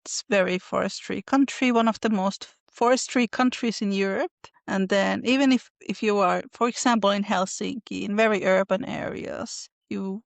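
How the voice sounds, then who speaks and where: A woman's voice: mid-range voice, normal speed, gravelly, few pauses, dry recording. A woman from northern Finland with a Finnish accent, a guest on a podcast, answering thoughtfully with frequent fillers and restarts over a video call. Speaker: podcast guest, illustrator and folklorist. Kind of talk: casual interview answers.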